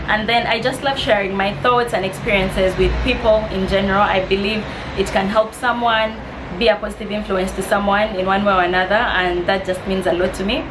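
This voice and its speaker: A woman's voice: mid-range voice, steady tone, fairly quick, noisy background. A woman of about twenty from East Africa, chatting in a friendly, slightly nervous way as she answers get-to-know-me questions. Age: 20s. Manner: friendly, slightly nervous, reading questions aloud with soft laughs. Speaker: vlogger. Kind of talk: casual Q&A vlog.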